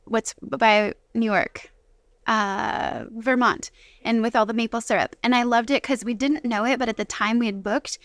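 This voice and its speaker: American woman guest, fairly high voice. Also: steady tone, normal speed, no echo. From Washington State, United States. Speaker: interview guest. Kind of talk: casual personal storytelling.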